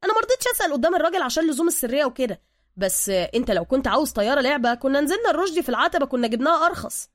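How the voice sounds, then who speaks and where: A woman voicing an excitable, touchy but loyal streetwise man with a slangy Egyptian accent, in an audio story. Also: high voice, rapid-fire, no room sound, clean studio sound, pitched above the performer's own voice. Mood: chatty, pleased. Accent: Egyptian, colloquial Cairene. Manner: gushing, slangy, defensive.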